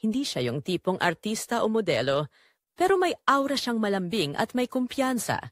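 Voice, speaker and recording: A woman's voice: fairly high pitch, very animated, moderate pace, no echo, studio quality. A woman with a Filipino accent narrating a scripted first-person drama in an emotive, confessional way.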